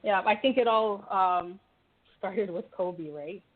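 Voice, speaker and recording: A woman in her twenties from Canada, hosting a relaxed radio talk and chatting easily with her guests. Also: medium pitch, slow pace, slight background noise. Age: 20s. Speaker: host. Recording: tinny phone line.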